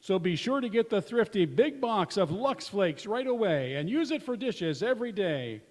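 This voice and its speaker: An actor playing an eager old-time radio announcer, delivering a persuasive advertisement in 1940s style. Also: male voice, high-pitched, big pitch swings, normal speed. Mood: upbeat, selling. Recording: echoey hall, long reverb.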